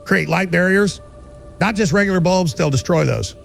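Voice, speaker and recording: An actor playing a paranoid, fervent conspiracy theorist delivering an urgent bunker broadcast in an audio drama, with a gravelly voice. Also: male voice, sits high, moderate pace, faint music behind. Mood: urgent instructing. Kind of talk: audio drama monologue as pirate radio broadcast.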